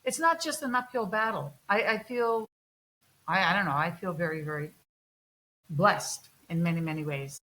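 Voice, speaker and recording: A woman's voice: lowish voice, normal speed, pushed and bright, low-quality recording. Chatty woman hosting an interview. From Canada. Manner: chatty, empathetic, shares her own stories. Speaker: interview host. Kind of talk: casual interview chat.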